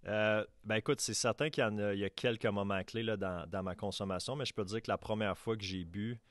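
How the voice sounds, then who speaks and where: A man's voice: lowish voice, fairly even intonation, quick pace, no echo. A man in his thirties with a Québécois French accent, hosting a podcast and speaking in a plain, matter-of-fact way. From Quebec. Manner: plain, matter-of-fact, fillers like fait que. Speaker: podcast host. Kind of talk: casual conversational interview.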